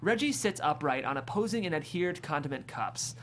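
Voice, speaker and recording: Stage directions reader: mid-pitched, descriptive, amused, scripted comedy narration, slight room noise. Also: expressive, brisk, fairly bright tone. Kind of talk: scripted screenplay narration at a table read.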